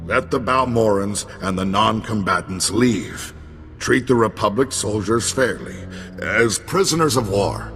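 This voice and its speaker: An actor playing a proud, earnest, defeated Republic commander in a video game, with a grave manner. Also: male voice, lowish voice, fairly bright tone. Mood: grave, pleading. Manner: slow, grave, pleading. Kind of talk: dramatic video game dialogue. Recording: long reverb, music behind.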